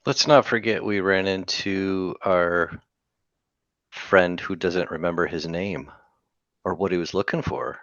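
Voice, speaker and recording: A man's voice: sits low, unhurried, fairly bright tone, dry recording, highs cut off. A man playing a tabletop game, chatting casually as a player. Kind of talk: casual chat, recapping play.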